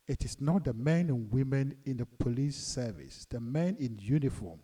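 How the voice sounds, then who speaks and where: A middle-aged Ghanaian man lectures his viewers in a deliberate, earnest way, pressing hard on his key points. His voice is very dark and mellow, and he sits a bit back from the microphone. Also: medium pitch, animated, far from the mic. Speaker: channel host and commentator. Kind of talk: lecturing monologue.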